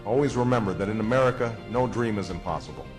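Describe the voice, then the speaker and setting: A man delivering a measured, solemn prepared address to new citizens. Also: on the low side, over music.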